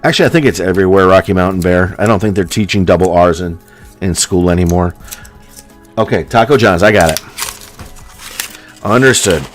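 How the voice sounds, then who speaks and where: A deep-voiced American man with a plain General American accent chats to his viewers at home. He rambles along loosely and fills his talk with ums and likes. Soft music plays faintly behind him. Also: a little fast, long pauses. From United States. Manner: rambling, filler-heavy, with many ums and likes. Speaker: coin hunting streamer. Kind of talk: casual chat to a live audience.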